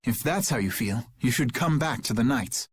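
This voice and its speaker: An actor playing a principled young knight captain in a video game, formal, solemn and sincere. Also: male voice, medium pitch, animated, normal speed, breathy edge, dry recording. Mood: composed.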